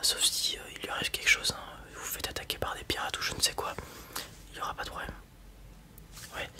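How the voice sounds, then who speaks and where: Whispered ASMR roleplay voice. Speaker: ASMR roleplayer acting as a wandering space robot salesperson.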